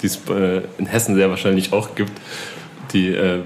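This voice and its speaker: A man's voice: deep voice, flat delivery, normal speed, a little hiss or hum. A man hosting a casual interview, chatty and easygoing. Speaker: interviewer, host.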